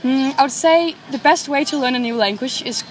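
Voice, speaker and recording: Earnest, higher-voiced woman. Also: fairly quick, a little hiss or hum, poor audio. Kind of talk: street interview answers.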